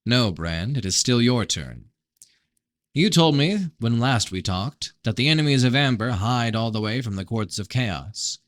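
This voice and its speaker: A blunt storybook prince, voiced by a man reading aloud, suspicious and challenging. His voice sits fairly low and his phrasing is terse, captured very dry. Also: fairly bright tone, no room sound, close to an everyday voice. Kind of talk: first-person novel reading.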